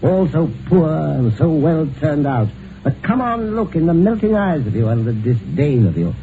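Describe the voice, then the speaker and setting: A cynical, possessive British husband, mocks and bullies in a clipped, theatrical radio drama style. The sound is a thin, tinny old broadcast with clear noise behind it. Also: male voice, fairly high pitch, sing-song, normal speed. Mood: scornful. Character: rich, bullying, cynical husband, mocking and possessive.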